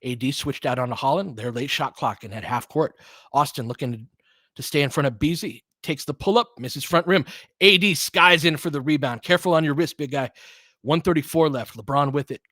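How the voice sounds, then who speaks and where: A man's voice: a little high, brisk, fairly bright tone, no echo, studio quality. A man hosting an informal live play-by-play, commentating and analysing in a conversational, fan-like way as he chats with listeners. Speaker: live audio play-by-play host.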